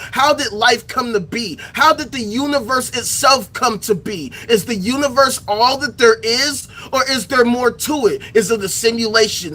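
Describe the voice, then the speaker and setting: A man's voice: very high-pitched, sharp tone, low-quality recording. A man giving an impassioned solo rant to his viewers, firing off repeated rhetorical questions with bursts of profanity. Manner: reads aloud from a reference text. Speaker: political commentary streamer.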